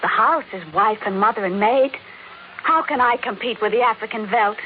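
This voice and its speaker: A woman's voice: fairly high pitch, moderate pace, phone-quality sound, lightly acted. A woman playing an anxious, discontented wife and mother, earnest, in an old-time radio drama. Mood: unhappy, anxious. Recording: thin, noisy old radio recording.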